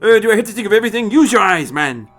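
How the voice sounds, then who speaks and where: A man voicing a fussy, scornful old time traveller, excitable and chiding, with hesitant little interjections, in an audio story. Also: high voice, racing, soft background music, voice pushed up. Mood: scornful, excited.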